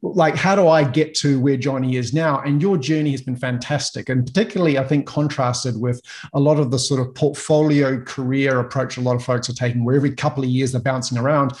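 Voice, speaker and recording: A man's voice: medium pitch, steady tone, a little fast, a little mellow. A man with a New Zealand accent hosting a casual interview, upbeat and friendly. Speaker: interview host.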